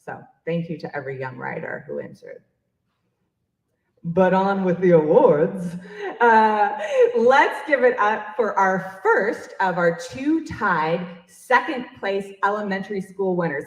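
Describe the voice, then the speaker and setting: A woman is hosting a live event in an echoey room. Her pitch moves around a lot as she talks off the cuff. She is effusive and encouraging, leaning on key phrases for emphasis. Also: very animated, unhurried. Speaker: emcee of an awards event. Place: public library event room, in front of an audience.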